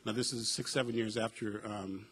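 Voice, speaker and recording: A man's voice: on the low side, steady tone, moderate pace, fairly bright tone, slightly rough. A middle-aged man from the United States, a professor on a panel, telling reflective personal stories with a wry humor and frequent uh and um fillers. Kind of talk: personal storytelling at a panel discussion.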